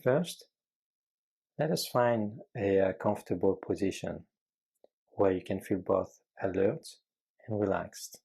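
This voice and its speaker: A man guiding a meditation with calm, gentle, measured instruction and frequent pauses between phrases. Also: lowish voice, gravelly, husky. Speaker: meditation guide.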